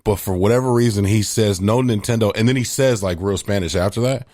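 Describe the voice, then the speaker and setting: A deep-voiced man co-hosts a podcast with loose, slang-heavy storytelling. His pitch sits low, and the recording is dry and close. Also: a little fast, no room sound. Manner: animated storyteller, slangy, laughs at own jokes. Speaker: podcast co-host. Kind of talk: casual podcast chat.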